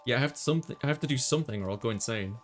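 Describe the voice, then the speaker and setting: A man's voice: mid-range voice, rapid-fire, faint music behind. A man doing a let's play, reading a visual novel aloud and dropping in casual commentary. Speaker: let's player.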